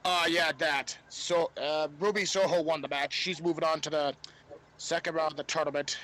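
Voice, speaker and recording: High-voiced man, podcast panelist, casual sports chat, halting repetitive delivery. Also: fairly even intonation, fairly bright tone.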